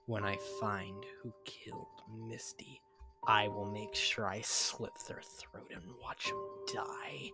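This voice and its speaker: A man's voice: lowish voice, steady tone, takes it slowly, whispered, music in the background, pitched below the performer's own voice. Man acting a grieving, vengeful husband. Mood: vengeful grief. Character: grieving, vengeful husband, foul-mouthed hero. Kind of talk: amateur dramatic reading.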